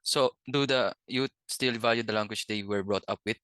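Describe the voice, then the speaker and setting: A man's voice: lowish voice, moderate pace, pushed and bright, clean tone. A young man with a Filipino accent, moderating a student discussion and reading his prepared opinion with small restarts. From Philippines.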